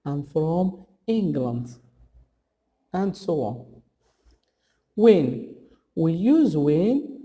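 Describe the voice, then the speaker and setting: A man teaching English grammar as a scripted lesson, deliberately reading out example questions and answers in a didactic way. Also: sits high, dark tone. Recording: long hall-like reverb on the voice.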